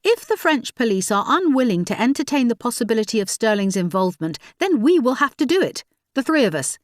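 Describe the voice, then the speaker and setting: A woman's voice: mid-range voice, moderate pace, no echo, clean studio sound, natural voice. A woman voicing a determined female investigator who presses her point in a clipped, insistent way.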